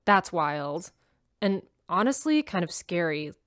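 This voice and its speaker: A woman's voice: fairly low pitch, sing-song, slow pace, fairly bright tone, clean tone, no echo, studio quality, exaggerated voice. A woman voicing a sulky, hesitant teenage farm girl who has been grounded, in a radio drama.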